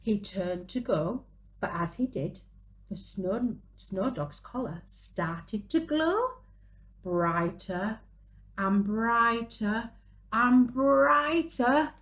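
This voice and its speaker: A woman in her thirties reads a children's picture book aloud. Her voice sits fairly high and swings widely in pitch. She takes her time, dramatising each phrase for small listeners. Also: rough recording. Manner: animated, dramatic gasps and drawn-out exclamations. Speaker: children's storyteller, early years presenter. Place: small room at home, indoors.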